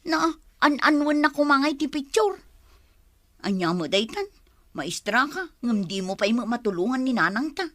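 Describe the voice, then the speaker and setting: An actress with an Ilocano accent playing a plain-spoken fish-vendor sister in a comedy audio drama, reproachful, teasing and expressive. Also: female voice, sits high, moderate pace, no echo, clean studio sound. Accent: Ilocano, Philippines.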